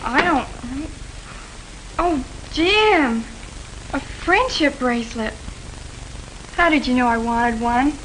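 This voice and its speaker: An actress playing an earnest, wounded high school girl in an old educational film, speaking with plaintive emotion. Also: slow pace, pauses often, fairly bright tone. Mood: surprised. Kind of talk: 1950s educational film acting. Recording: noisy 1950s film soundtrack.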